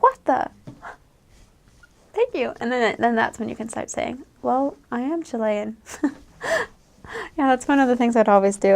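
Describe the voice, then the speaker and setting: A young woman from the United States, a returned missionary, giving chatty advice and laughing often as she acts out quoted voices. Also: sits high. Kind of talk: casual advice talk.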